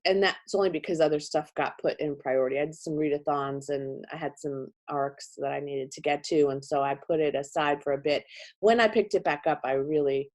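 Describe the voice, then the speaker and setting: A middle-aged woman hosting her book channel, chatting casually through her monthly reading, her voice still slightly hoarse and congested. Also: low voice, brisk. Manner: chatty, self-correcting, warm talk about books.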